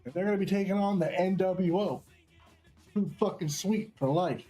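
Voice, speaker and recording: A man's voice: sits high, moderate pace, mellow, soft background music. A man hosting casual wrestling commentary in an enthusiastic, slangy and profane way. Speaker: segment host and match commentator.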